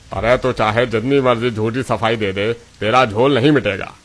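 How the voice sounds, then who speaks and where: A man's voice: medium pitch, steady tone, normal speed, a bit bright, lightly acted. Man acting a sneering, arrogant restaurant boss. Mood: sly, accusing. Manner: taunting, sneering, boastful. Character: arrogant, jealous, scheming male Chinese restaurant owner. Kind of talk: Hindi cartoon story dialogue.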